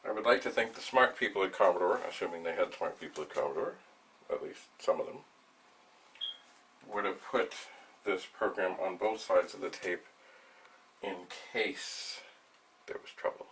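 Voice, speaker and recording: An older man hosting a vlog, thinking aloud in a rambling, halting way as he narrates a hands-on demonstration. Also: sits low, fairly bright tone. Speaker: vlog host, retro computer hobbyist. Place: small cluttered indoor room at home. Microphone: camera mic a few steps away. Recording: distant mic, noisy room.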